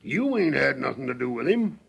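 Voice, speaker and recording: An actor in a 1930s radio western playing a gruff, bullying sheriff with a gravelly voice, an American Western accent and rustic grammar. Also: male voice, mid-range voice, big pitch swings, fairly quick, fairly bright tone, exaggerated voice. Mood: angry, commanding. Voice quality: gravelly, husky. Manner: blustering, rustic folksy grammar. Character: gruff, bullying Old West sheriff barking questions. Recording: old 1930s radio recording.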